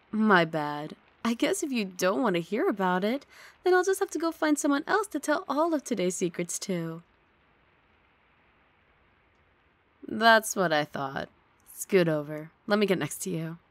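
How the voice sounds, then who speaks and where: A woman's voice: mid-range voice, creaky, no echo. A woman playing a grumpy but affectionate young female roommate in an intimate ASMR roleplay, sardonic and huffy, speaking close to the mic. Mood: exasperated, teasing, then coaxing, needy. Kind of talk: ASMR roleplay, intimate one-sided dialogue. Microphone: close studio mic, dry.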